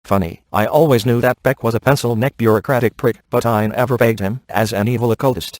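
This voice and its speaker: Smug, sarcastic male ghost hunter played by a male actor for a comedy video game; deadpan wisecracks, very dry studio sound. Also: medium pitch, brisk, no echo, close to an everyday voice. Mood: wry.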